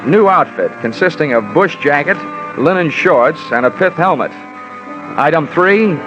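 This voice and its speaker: An actor playing a wry, world-weary American insurance investigator in a 1950s radio detective drama, sardonic and wisecracking. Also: male voice, fairly high pitch, expressive, measured pace. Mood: dry, wry. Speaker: lead and narrator. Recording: dull old radio recording, music.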